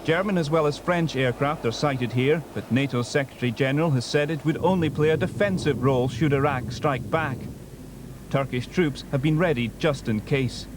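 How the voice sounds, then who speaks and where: A man's voice: fairly high pitch, normal speed, background noise. A man with a British accent voicing a scripted news report.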